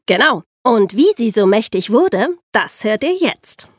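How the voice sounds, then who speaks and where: A woman's voice: a little high, big pitch swings, measured pace, over music. A woman co-hosting a podcast, telling history in an explanatory way for young listeners. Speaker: podcast co-host and narrator.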